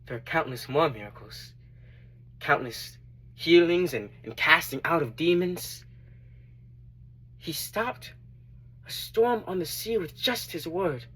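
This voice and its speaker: A man performing a dramatic monologue as a repentant tax collector turned devoted disciple, earnest and reflective, with deliberate emphatic swells. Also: fairly high pitch, expressive, fairly bright tone, husky, voice pushed up, full character voice, rough recording. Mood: awed. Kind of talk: dramatic monologue, first-person testimony.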